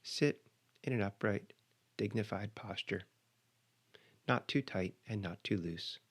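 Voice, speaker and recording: A man leading a guided meditation, giving measured, instructional cues with pauses between phrases. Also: fairly low pitch, fairly bright tone, husky.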